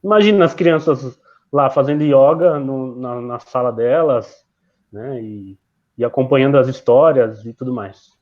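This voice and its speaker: A man's voice: mid-range voice, a little slow, a little mellow. A man who is a physical education teacher, speaking reflectively and hesitantly on an online panel. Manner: reflective, hesitant, many fillers and restarts. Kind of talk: casual online panel discussion. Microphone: video call. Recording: lo-fi video call audio.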